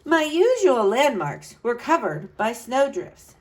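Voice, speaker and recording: A woman's voice: on the high side, sing-song, slow. A woman reading a storybook as an earnest, adventurous young boy who tells his own tale dramatically. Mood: determined.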